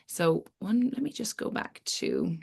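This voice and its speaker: A woman's voice: mid-range voice, huge pitch swings, measured pace, creaky, darkish tone, breathy edge. A middle-aged woman hosting an informal webinar, explaining things in long, flowing sentences with lists of points. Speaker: webinar host, academic scientist.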